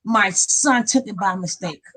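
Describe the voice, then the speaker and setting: A woman hosting a casual live panel stream over a video call, animated and laughing often. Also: mid-range voice, measured pace. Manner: animated, laughing, talks up a storm.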